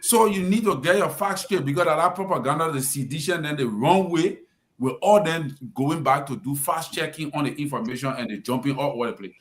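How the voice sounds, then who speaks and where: A man's voice: a little high, fairly quick. A man with a Liberian English accent on a radio panel, giving political commentary in an emphatic, lecturing manner. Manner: lecturing, emphatic, addresses the president directly. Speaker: radio talk show panelist.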